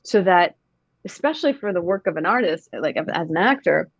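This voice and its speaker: A woman's voice: on the low side, expressive, normal speed, no echo, muffled recording. A woman in her thirties, an actor talking in a casual interview, reflective and emphatic. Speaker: actor, podcast guest.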